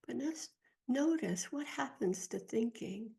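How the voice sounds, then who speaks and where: Slow, husky woman guiding a meditation. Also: fairly high pitch, animated. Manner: slow, gentle, soft-spoken with long pauses. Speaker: guided meditation teacher. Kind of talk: guided meditation.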